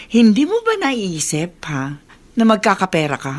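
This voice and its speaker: A woman's voice: mid-range voice, huge pitch swings, moderate pace, darkish tone. An actress playing a chatty, money-minded neighbour woman in a radio soap drama, coaxing and persuasive. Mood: coaxing, upbeat.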